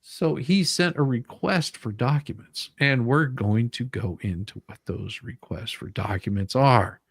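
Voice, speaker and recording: A man with an average-pitched voice hosts a casual commentary. He reads from documents and breaks them down bluntly. The sound is dry, with little room on it. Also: measured pace, slightly rough, no echo. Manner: blunt, mildly profane, explanatory. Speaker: online show host. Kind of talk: casual live commentary, reading documents aloud.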